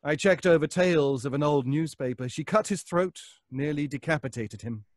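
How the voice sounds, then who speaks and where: A man acting a nervous, scheming fellow in an old-time radio suspense play, with a halting, stammering, pleading delivery. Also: on the high side, dry recording, clean studio sound, close to an everyday voice. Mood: morbid, intense. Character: nervous, obsessive, scheming middle-class man.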